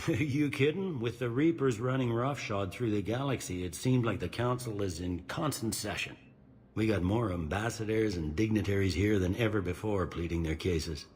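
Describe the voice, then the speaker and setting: An actor playing a blunt, weary, middle-aged security captain on a space station in a video game, speaking in a gruff, wry, plain-spoken way and laughing off questions. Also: male voice, lowish voice, normal speed, a little mellow.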